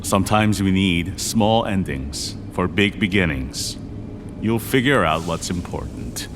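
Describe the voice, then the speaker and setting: An actor playing a blunt, gently advising widowed father in an audio drama. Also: low-pitched, animated, measured pace, music in the background. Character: gruff, wise widowed Korean father.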